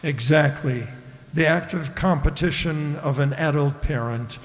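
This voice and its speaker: A glib, sardonic captive played by a man in a comic radio drama. His voice sits a little high, and he reasons dryly, as if leading someone along. A hall-like reverb hangs around the words. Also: measured pace, natural voice. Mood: wryly impatient. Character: glib, wry human prisoner, a broadcaster. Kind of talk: satirical radio play acting.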